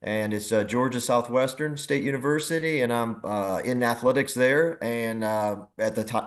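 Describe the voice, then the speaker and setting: A middle-aged man chatting casually as a guest, with an easygoing, halting way of talking. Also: mid-range voice. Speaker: guest, athletic director and former team general manager. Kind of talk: casual chat.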